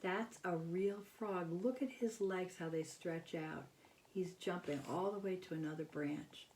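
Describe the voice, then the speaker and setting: Low-voiced, unhurried American woman reading to children. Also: a little hiss or hum. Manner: expressive, simple explanations with frequent questions to the listener. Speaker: teacher reading a picture book to children. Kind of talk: children's read-aloud with asides to young listeners. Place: small bedroom at home, indoors.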